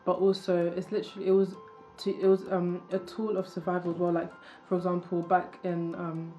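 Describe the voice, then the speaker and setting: A young woman speaking earnestly in an interview, filling pauses with "like" and "um". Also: fairly low pitch, little pitch movement, dark tone, faint music behind. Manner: earnest, with many fillers. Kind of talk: casual reflective talk.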